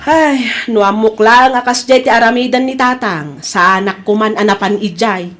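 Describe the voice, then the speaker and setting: A woman's voice: fairly high pitch, moderate pace, fairly bright tone, soft background music, natural voice. A woman with an Ilocano accent playing a dismissive, defensive wife in a domestic comedy drama. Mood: defensive, irritated.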